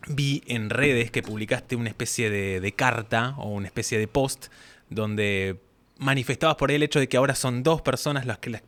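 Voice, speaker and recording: A man's voice: medium pitch, moderate pace, a bit bright. A man with an Argentine accent hosting a casual interview in an informal, discursive way, often acting out bits of dialogue. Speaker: interview host.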